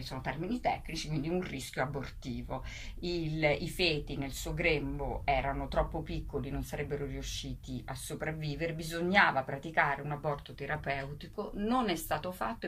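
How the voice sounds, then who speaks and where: A woman radio guest giving an explanation in interview style, halting at times and emphatic on key words. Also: low voice. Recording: faint background noise.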